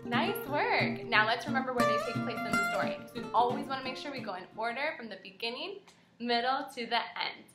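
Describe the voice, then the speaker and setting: A young woman teaching kindergarten, reading aloud and prompting children with animated, encouraging questions. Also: fairly high pitch, fairly quick, loud music behind the voice. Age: young adult. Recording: echoey indoor room.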